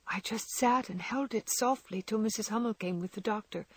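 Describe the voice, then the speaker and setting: Brief: a woman reading a shy, frail young girl, breathy and hushed, close and dry. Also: medium pitch, normal speed, no room sound, pitched above the performer's own voice, lightly acted. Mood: sad. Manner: soft, often whispering. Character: gentle frail young girl, timid and loving.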